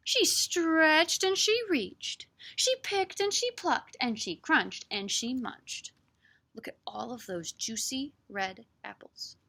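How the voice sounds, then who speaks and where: Bright-voiced woman leading children's story time. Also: sits high, slow, vocal fry. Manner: animated, sing-song, encouraging, with dramatic emphasis. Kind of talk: expressive read-aloud and chat for young children.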